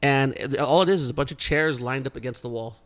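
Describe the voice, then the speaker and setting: A man's voice: mid-range voice, fast. A man in his forties, a podcast co-host telling a long, rambling story with lots of swearing and restarts. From Canada. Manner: rambling, profane, restarts often. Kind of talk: casual storytelling.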